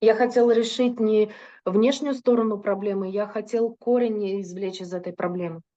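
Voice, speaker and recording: A woman's voice: medium pitch, fairly even intonation, moderate pace, dark tone, highs cut off. A woman interpreting a religious lecture into Russian, fluent but with occasional hesitations and self-corrections.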